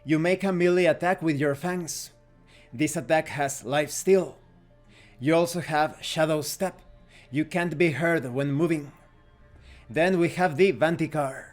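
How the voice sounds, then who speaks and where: A man's voice: a little high, a little slow, soft background music. A man reading rulebook text aloud as scripted narration for a tabletop game review.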